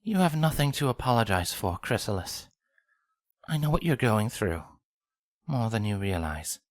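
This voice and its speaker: An actor playing a regal sun princess pony in an audio fan fiction drama. She is solemn, measured and formal, with a protective, sorrowful air and a mid-pitched voice, recorded dry. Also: very animated, no echo, lightly acted. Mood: gentle, formal.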